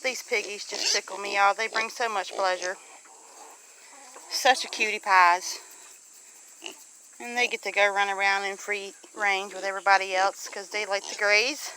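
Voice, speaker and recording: A woman's voice: a little high, pushed and bright, poor audio. A woman with a Southern US accent chatting casually in a plainspoken, folksy way, as a homestead vlogger talking to viewers. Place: outdoors on a farm among animals. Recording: outdoor farm noise, animals and insects. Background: faint animal and insect sounds.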